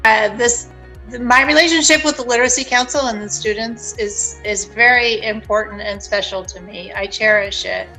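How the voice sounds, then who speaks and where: A woman's voice: on the high side, normal speed, sharp tone, faint music behind, rough recording. A woman volunteer tutor speaks sincerely about her work with the students.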